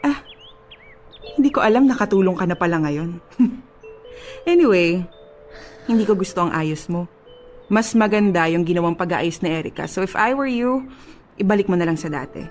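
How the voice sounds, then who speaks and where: A woman's voice: on the low side, expressive, moderate pace, long pauses, darkish tone, soft background music, lightly acted. A woman playing a haughty, disapproving middle-aged mother in a radio drama, with a Filipino accent and a sarcastic, cutting manner. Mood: sarcastic, dismissive.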